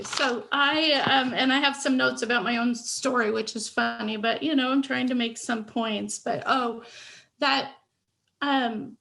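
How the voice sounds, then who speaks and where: A woman's voice: a little high, creaky, fairly bright tone. A woman speech pathologist sharing her personal story on a video call panel, candid, stuttering now and then with fillers and restarts.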